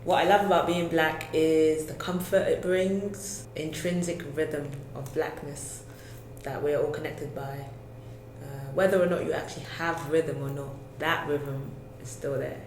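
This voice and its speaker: A young adult co-host sharing reflections in a soft, musing way with trailing pauses. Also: medium pitch, fairly even intonation, a little hiss or hum. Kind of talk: reflective personal conversation. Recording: echoey room.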